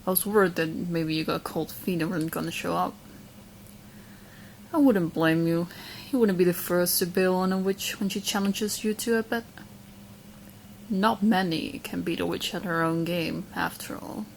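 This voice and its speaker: Woman acting a smug lovesick witch, lowish voice. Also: steady tone, moderate pace, creaky, slight background noise, poor audio. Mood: eager, coy, then smug, possessive. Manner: coy, smug, chatty, hesitant asides. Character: obsessive, smug young female witch in love. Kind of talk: roleplay audio drama, addressing the listener.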